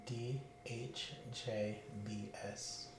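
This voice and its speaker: A man playing a patient in an ASMR roleplay, giving calm, brief answers. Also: mid-range voice, very slow, reverberant, music in the background, low-quality recording.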